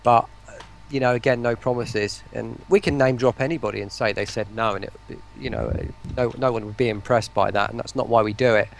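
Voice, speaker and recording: A man's voice: medium pitch, steady tone, brisk, gravelly, poor audio. A man in a casual online interview, rambling and often breaking off to search for words. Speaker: voice pack producer, interview guest.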